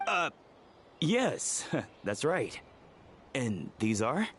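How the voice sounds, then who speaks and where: A nervous young trainee medic in a fantasy game, with a lively, wavering pitch. He is polite, hesitant and awkward. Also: very slow, slight background noise, exaggerated voice. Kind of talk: video game character acting.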